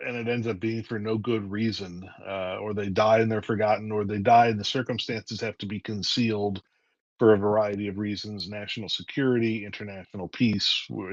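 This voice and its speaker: A man, a writer interviewed as guest, telling stories in a lively way and acting out scenes. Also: on the low side, no room sound, dull audio. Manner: lively, acts out scenes with voices. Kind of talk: animated storytelling in a casual interview.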